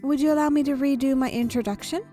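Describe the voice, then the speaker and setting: A woman reads out a gentle, courteous gentleman from a fantasy romance game. The delivery is polite and theatrical, in a mellow voice. Faint music plays behind. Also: high voice, steady tone, fast talker, pitched above the performer's own voice, natural voice.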